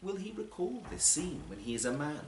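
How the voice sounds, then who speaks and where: Expressive man reading literary fiction aloud. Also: a little high. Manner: measured, deliberate, dramatic phrasing. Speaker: actor and audiobook reader. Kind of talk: literary story reading, scripted narration. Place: performance space in a library, live event. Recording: roomy live recording with faint noise.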